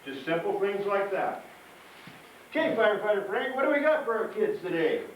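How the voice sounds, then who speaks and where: A man's voice: sits high, lively intonation, normal speed, muffled recording. A man hosting a fire safety show for children as a firefighter, chatty, folksy and plain-spoken, repeating himself and putting questions to the audience. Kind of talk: live presentation to kids, chatty and folksy. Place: live show at a fire station. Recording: echoey, distant mic, noisy live show.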